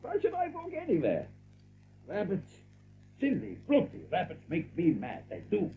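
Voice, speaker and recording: A man's voice: sits high, huge pitch swings, normal speed, heavily acted. A man voicing a boastful, irritable bird who blusters in broken English in an audio drama. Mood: indignant. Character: excitable gruff foreign-sounding bird, boastful and irritable. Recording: noisy, narrow-band old audio drama recording.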